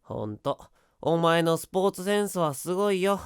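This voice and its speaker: A performer playing an energetic, teasing teenage schoolboy in an anime-style voice drama, with boyish, slangy speech. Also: medium pitch, normal speed, clean tone, no room sound, studio quality, natural voice. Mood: earnest, persuasive. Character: energetic, upbeat teenage schoolboy, passionate dancer.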